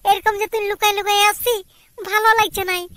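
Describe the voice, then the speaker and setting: Squeaky, filtered, nagging young cartoon wife. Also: female voice, level tone, fairly quick, a bit bright, no room sound. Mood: conspiratorial, discontented. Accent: Purulia Bengali. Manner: blunt, nagging. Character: scornful, demanding young wife, scheming with her lover. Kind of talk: amateur cartoon dialogue.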